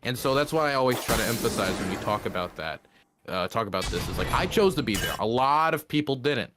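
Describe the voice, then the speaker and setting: A man's voice: medium pitch, lively intonation, quick pace, music in the background. A man who works as a war journalist, talking casually and rambling, with lots of fillers and restarts. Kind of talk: casual conversation.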